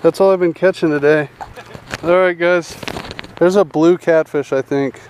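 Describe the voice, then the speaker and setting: A young man hosting a casual fishing vlog outdoors at a rural pond, chatty and excited, calling everyone "dude" and "guys", recorded on a head-mounted action camera. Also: on the high side, a little slow, slight background noise, rough recording. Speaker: fishing channel host.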